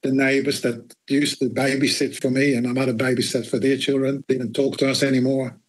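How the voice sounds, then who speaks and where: A man in his eighties from the Netherlands with an Australian accent, recounting his life in an interview in a measured, blunt way. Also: medium pitch, level tone, husky. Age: 80s. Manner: measured and blunt, stresses words with firm repetition. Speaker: interviewee, Holocaust survivor. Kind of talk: personal testimony in an interview.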